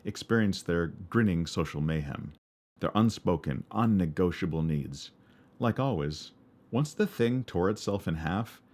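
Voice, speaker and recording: A man with a baritone voice, narrating a memoir for his podcast in a wry, reflective way. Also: a little mellow. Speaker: podcast host.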